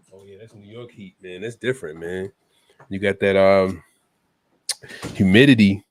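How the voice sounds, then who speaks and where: A man's voice: low-pitched, a little slow, pauses often. A man with a stuffy head cold hosting a laid-back wrestling talk show, tossing "man" into almost every line. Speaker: podcast host. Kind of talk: casual wrestling chat.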